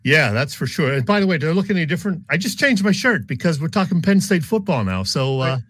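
A man's voice: fairly high pitch, big pitch swings, fast, a bit bright, husky, dry recording. An American man co-hosting a sports show, talking and asking questions in a conversational style.

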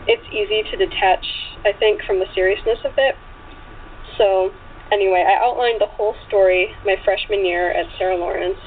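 Woman on a tinny, noisy phone line. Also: mid-range voice. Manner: chatty, frequent 'like' and 'you know'. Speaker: guest author and actress. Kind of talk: casual interview chat. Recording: tinny phone line with clear background noise.

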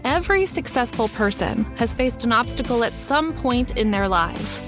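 A woman delivers a scripted motivational pitch with confident encouragement. Her voice sits slightly high. Clear music plays beneath her. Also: animated, normal speed. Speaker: ad speaker.

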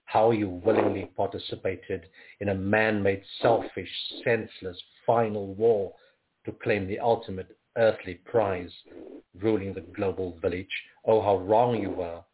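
A man from Africa, reading his poems aloud in a measured, rhythmic way. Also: on the low side, steady tone, a little hiss or hum. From South Africa. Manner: measured, rhythmic recitation with pauses. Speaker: poet. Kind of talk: poetry reading. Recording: tinny phone-like audio.

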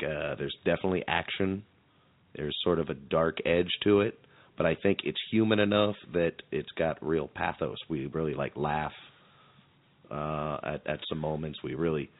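A man co-hosting a podcast in casual chat, halting and repeating words as he searches for phrasing. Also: very deep voice, no echo, narrow, tinny audio. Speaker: podcast co-host.

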